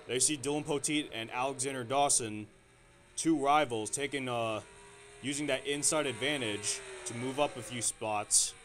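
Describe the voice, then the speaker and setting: Male amateur race caller, mid-range voice, excitable and rough-edged delivery, faint noise floor. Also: mid-range voice, moderate pace. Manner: excitable, restarts sentences with fillers. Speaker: race commentator. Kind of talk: amateur sim racing play-by-play commentary.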